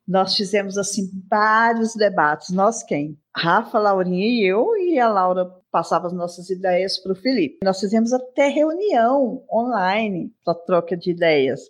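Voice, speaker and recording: Chatty woman in her sixties hosting. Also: mid-range voice. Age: 60s. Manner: chatty and affectionate, rambling with asides. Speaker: podcast host. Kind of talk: casual solo podcast chat.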